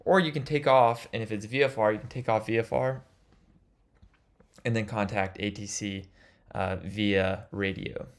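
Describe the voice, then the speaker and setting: A man's voice: on the low side, fairly even intonation, normal speed. A man giving an instructional lecture as a flight instructor, explaining things in an informal way.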